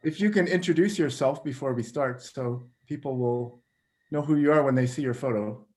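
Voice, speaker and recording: A man's voice: mid-range voice, normal speed, a little mellow. A man hosting a video call, presenting a slideshow talk in a friendly, plain way. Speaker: travel photography company head, presenter.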